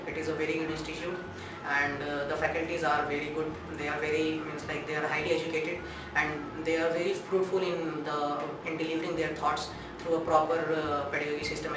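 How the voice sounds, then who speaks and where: Young male student, Bihari Indian accent, quick talker, heavy room echo. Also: on the high side, little pitch movement. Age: 20s. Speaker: MBA student giving a testimonial. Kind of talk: interview testimonial. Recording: very echoey, distant mic, background noise.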